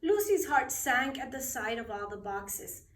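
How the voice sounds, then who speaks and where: A woman reading a bedtime story aloud expressively, with dramatic, deliberate phrasing for children. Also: a little high, sing-song. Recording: roomy echo, distant microphone.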